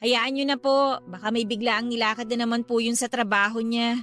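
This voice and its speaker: A woman's voice: on the high side, fairly quick, over music, lightly acted. A woman playing a weary, patient wife and mother in a Filipino radio drama. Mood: polite, patient. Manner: polite, expressive.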